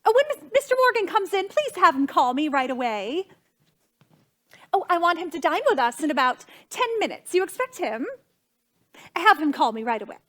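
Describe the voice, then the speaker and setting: Very high-pitched, flustered society hostess. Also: female voice, very animated, normal speed, fairly bright tone. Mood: urgent, flustered. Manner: fretful, gushing, dramatic, imperious. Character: flustered, imperious society hostess, a socially ambitious mother. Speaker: stage actress. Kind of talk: theatrical period comedy acting.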